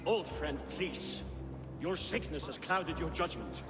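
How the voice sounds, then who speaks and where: A pleading, loyal old comrade. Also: male voice, on the high side, takes it slowly, music in the background. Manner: pleading, then solemn and resigned. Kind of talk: video game cutscene acting.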